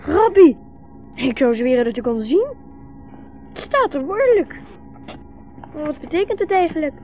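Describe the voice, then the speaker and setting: Young boy, high-pitched, earnest, trusting. Vintage radio play with muffled sound. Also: expressive, normal speed, faint music behind. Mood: curious. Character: young boy, hungry, footsore, trusting guide. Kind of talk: 1960s radio play acting. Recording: muffled, hissy 1960s radio recording.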